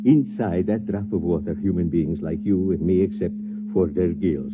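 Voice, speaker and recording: An actor playing a grave scientist in a 1950s radio drama, speaking in a clipped, solemn, measured way over a muffled vintage recording. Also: male voice, deep voice, very noisy, lightly acted. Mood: awed, grave. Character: grave male scientist running a desperate experiment on humanity. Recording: muffled vintage radio, loud humming electronic tones.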